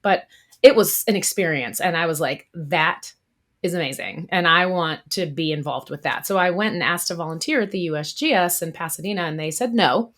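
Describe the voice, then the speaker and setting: A woman from the US East Coast, a guest in a podcast interview, telling her story in a lively, expressive way. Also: on the low side, brisk. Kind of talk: casual interview talk.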